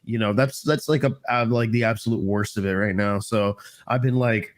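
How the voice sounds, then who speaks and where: A man's voice: fairly low pitch, moderate pace. Stuffy, slangy man, recovering from flu. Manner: rambling, slangy, swears a lot. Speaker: podcast co-host. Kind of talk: casual chat.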